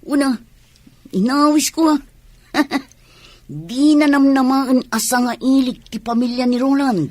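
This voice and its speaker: An actress playing an outspoken, teasing woman in a radio comedy drama, with an expressive, emphatic delivery. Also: sits high, measured pace, no echo. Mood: scheming, assured.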